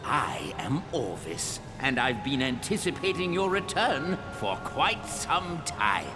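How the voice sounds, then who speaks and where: A man's voice: medium pitch, sing-song, slow. A man playing a kindly, whimsical old alien father in a video game, with a fond, theatrical delivery. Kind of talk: video game cutscene acting. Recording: echoey, distant, loud music behind.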